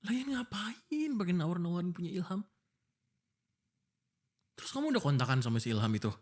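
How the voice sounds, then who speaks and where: A man's voice: sits high, big pitch swings, fairly quick, darkish tone. Exasperated young fiancé, acted by a man. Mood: annoyed, suspicious. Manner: pleading, scolding, informal and insistent. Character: exasperated, protective young fiancé arguing before his wedding. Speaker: voice actor. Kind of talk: boyfriend ASMR roleplay, one-sided dialogue.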